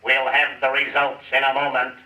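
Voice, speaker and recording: An actor playing a racetrack announcer making a formal announcement in a radio comedy. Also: male voice, mid-range voice, completely flat delivery, moderate pace. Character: racetrack public-address announcer. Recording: echoing loudspeaker sound, far from mic.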